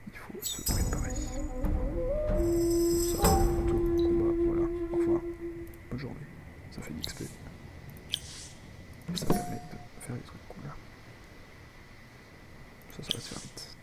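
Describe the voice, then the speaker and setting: A man's voice: very high-pitched, wildly swooping pitch, slow pace, pauses often. A man with a French accent who has a cold, commenting casually on his strategy game as he plays and chatting with viewers. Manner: rambling, chatty, talks to viewers while playing. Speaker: gaming video host. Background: loud game music.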